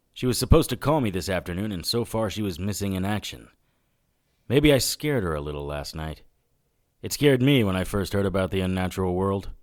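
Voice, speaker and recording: Man as 1940s private eye, lower-pitched, wry, wary, tough, noir radio narration. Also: natural voice. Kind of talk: hard-boiled radio drama narration.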